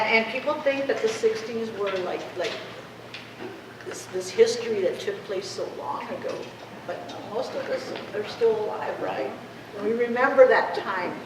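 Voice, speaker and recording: A woman's voice: medium pitch, recorded from a distance. A woman in her fifties, a Spanish speaker, gives an impassioned, conversational public talk as an activist, often posing rhetorical questions. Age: 50s. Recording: echoey room from afar, clear noise.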